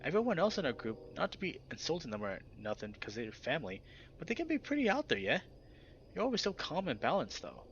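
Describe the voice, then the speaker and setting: Man voicing an earnest young wolf with swooping pitch. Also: wildly swooping pitch, fast talker, a bit bright, a little hiss or hum, exaggerated voice. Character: young male anthropomorphic wolf, earnest, rough-edged, affectionate friend. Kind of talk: visual novel character read-aloud. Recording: slightly dull recording with background music.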